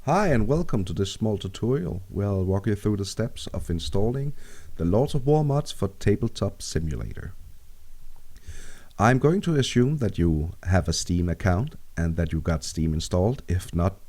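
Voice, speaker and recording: A man from Denmark with a Danish accent, calmly explaining a software tutorial step by step. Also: deep voice, moderate pace. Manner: calm, steady step-by-step explaining. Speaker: tutorial maker. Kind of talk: screen-recorded software tutorial.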